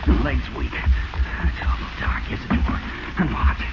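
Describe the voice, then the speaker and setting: A man's voice: a little slow, over loud music, lightly acted. An actor playing a frightened, struggling male convict in a strained, melodramatic 1940s radio style. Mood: strained, fearful. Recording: echoey, muffled 1940s radio recording.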